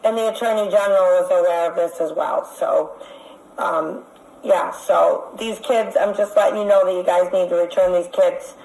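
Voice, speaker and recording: A woman caller making a complaint over the phone, speaking in an insistent, accusatory and halting way. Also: on the low side, fairly even intonation, creaky, a little mellow. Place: phone call. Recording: echoey phone call recording.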